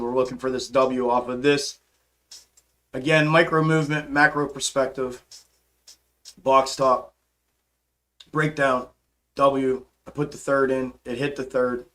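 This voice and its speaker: A hoarse man hosting a stock market livestream, casually walking through charts and answering chat, calling viewers bro and man. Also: mid-range voice, a bit bright. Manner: laid-back, calls viewers bro and man. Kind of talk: casual live chart commentary and chat replies.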